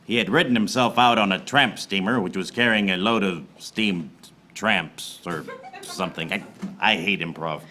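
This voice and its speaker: A man's voice: deep voice, normal speed, pushed and bright, slight background noise. A man playing a hapless, wisecracking private eye who narrates his case in a film noir radio parody, recorded live in a theater. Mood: flustered. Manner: wry, self-aware wisecracking. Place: theater stage before a live audience.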